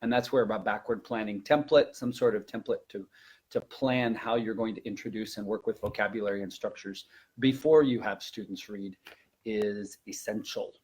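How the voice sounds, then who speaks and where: A man's voice: on the low side, steady tone, moderate pace, darkish tone, husky. A man giving informal, emphatic instructional advice as a language teacher presenting a webinar.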